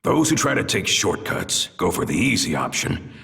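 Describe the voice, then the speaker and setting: An actor playing a stern, seasoned warrior mentor in a video game, gruff and blunt as he instructs. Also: low voice, big pitch swings, normal speed, fairly bright tone, in a large hall, far from the mic, exaggerated voice. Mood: stern, instructive. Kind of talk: video game cutscene voice acting.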